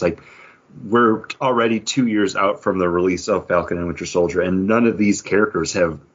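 Male podcast host, low and rough-edged, casual and halting, rambling delivery. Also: muffled recording. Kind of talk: casual chat.

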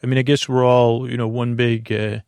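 Creaky, meandering man, bedtime podcast host. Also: medium pitch, little pitch movement, darkish tone, no echo. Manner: meandering, halting, soothing, full of tangents. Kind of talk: slow rambling bedtime monologue.

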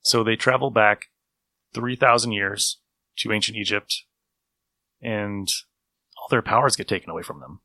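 A man's voice: on the low side, normal speed, pauses often, sharp tone, dry recording. A man hosting a show with a casual, wry recap chat. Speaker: podcast host.

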